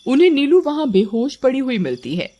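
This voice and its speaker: A woman's voice: high voice, very animated, a little fast, dark tone, slight background noise. A woman narrator reading a scripted story.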